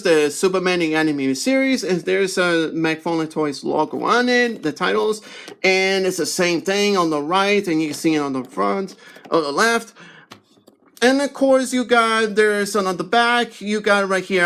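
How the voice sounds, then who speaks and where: A man giving a casual, rambling unboxing review as a toy reviewer, with halting, repetitive phrasing. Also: sits high, fairly bright tone.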